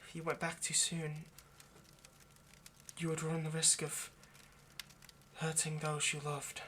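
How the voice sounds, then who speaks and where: A man's voice: on the high side, monotone, slow. Earnest vampire lover, played by a man, noisy recording. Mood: solemn, cautioning. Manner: hesitant, stammering, gently persuasive. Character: earnest, lovestruck male vampire coaxing a partner. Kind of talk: intimate roleplay ASMR monologue.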